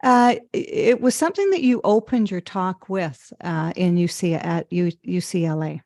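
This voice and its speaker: A woman hosting a podcast interview, speaking haltingly with many ums and restarts. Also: fairly low pitch, a little mellow, dry recording, studio quality. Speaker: podcast host. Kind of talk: interview conversation.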